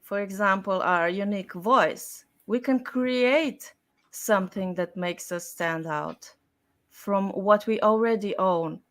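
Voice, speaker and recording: Very slow, deliberate woman explaining. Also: medium pitch. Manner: deliberate, emphatic short phrases. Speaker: style and communication expert guest. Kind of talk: explanatory interview answers.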